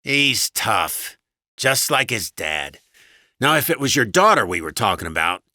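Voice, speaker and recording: A male narrator plays a domineering husband in an audiobook story. He is crude and sneering, pushing his voice loud and high with bright, forceful edges. The sound is dry and close. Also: sing-song, a little slow, dry recording, exaggerated voice. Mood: dismissive. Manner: loud, belligerent, sneering, swearing. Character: gruff, crude, domineering cigar-smoking husband.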